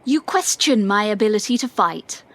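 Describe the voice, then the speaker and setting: A woman's voice: mid-range voice, lively intonation, moderate pace, fairly bright tone. A woman playing a proud, easily offended healer in a fantasy audio drama, with a defensive, indignant manner. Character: proud, prickly female healer and fighter.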